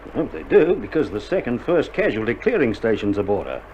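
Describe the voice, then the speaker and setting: Actor as a lively-voiced Australian army doctor, noisy old recording. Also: male voice, medium pitch, fairly quick, lightly acted. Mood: reassuring. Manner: colloquial, matter-of-fact, blokey. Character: weary, dry-humoured army doctor in a wartime field hospital. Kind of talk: recorded radio drama acting. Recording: dull vintage vinyl recording, loud noise.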